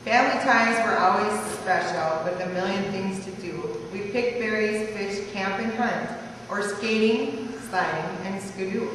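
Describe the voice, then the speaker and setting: A woman reads a rhyming tribute aloud in a very echoey hall, picked up from a distance. There is clear noise around her medium-pitched voice. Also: unhurried, fairly bright tone. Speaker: relative reading a birthday tribute. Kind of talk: reading a rhyming life story aloud. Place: large echoey room at a family party. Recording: echoey hall from far off, noisy.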